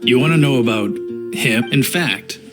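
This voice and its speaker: A man's voice: mid-range voice, normal speed, loud music behind the voice, lightly acted. A man voicing a boastful yet timid city guard in a video game, dramatic and self-important in delivery.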